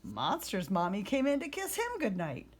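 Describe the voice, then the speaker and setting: A woman reading a children's story aloud as a storyteller, in expressive narration. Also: medium pitch, moderate pace.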